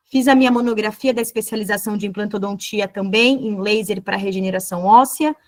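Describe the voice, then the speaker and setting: A woman's voice: medium pitch, moderate pace. A woman with a Brazilian Portuguese accent giving an online lecture as a dentist, explaining fluently and often checking in with tag questions.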